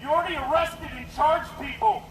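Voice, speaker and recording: A man's voice: very high-pitched, steady tone, fairly quick. A man giving a confrontational, accusing protest speech through a bullhorn, hammering out his words outdoors. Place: outdoors at a street rally, traffic noise behind. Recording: noisy echoey outdoor street recording.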